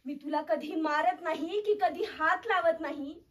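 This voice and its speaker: A woman's voice: extremely high pitch, measured pace. A woman playing a volatile, self-pitying mother scolding her child in a theatrical stage monologue. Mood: reproachful. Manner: theatrical, swinging between shouting, pleading and sobbing. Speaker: actor performing a theatre monologue. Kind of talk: dramatic Marathi stage monologue. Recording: voice far from the mic.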